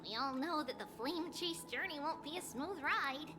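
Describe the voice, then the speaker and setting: A woman's voice: high voice, animated, moderate pace, sharp tone, soft background music. A woman voicing a small, cheerful childlike girl demigoddess in a video game, with a playful, lilting delivery.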